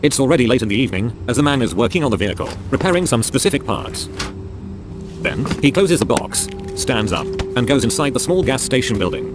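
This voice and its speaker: A man's voice: medium pitch, big pitch swings, brisk, noisy background. A man reading scripted narration of dramatic action scenes as a storyteller.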